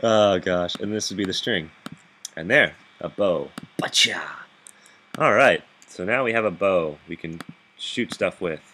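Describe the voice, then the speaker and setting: A man with a very deep voice giving rambling gameplay commentary from his bed into a laptop microphone, chuckling often and restarting his sentences. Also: measured pace, bright, forceful tone, a little hiss or hum. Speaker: gaming video host. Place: bedroom, sitting up in bed.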